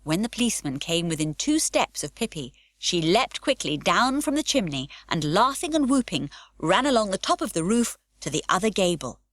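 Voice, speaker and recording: A woman narrating a children's storybook audiobook in a warm, lively storytelling way. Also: mid-range voice, moderate pace, hardly any pauses, no echo, clean studio sound.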